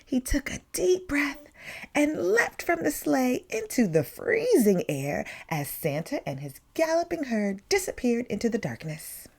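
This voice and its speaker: Animated, slow-paced woman reading a storybook. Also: high-pitched, gravelly. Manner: dramatic emphasis on key words. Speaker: story time reader. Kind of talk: expressive children's picture-book read-aloud.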